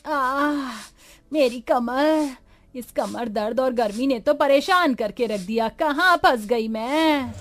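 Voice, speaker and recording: A woman's voice: high-pitched, slow pace. A woman playing a weary, aggrieved elderly mother-in-law in a cartoon family drama, groaning and complaining. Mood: pained, weary. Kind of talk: Hindi animated moral-story acting.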